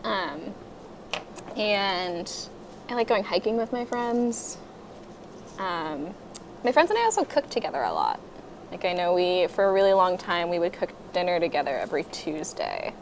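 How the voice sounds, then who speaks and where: A woman's voice: fairly low pitch, very animated, normal speed, slight background noise. A woman co-hosting a children's story time in casual, conversational chat.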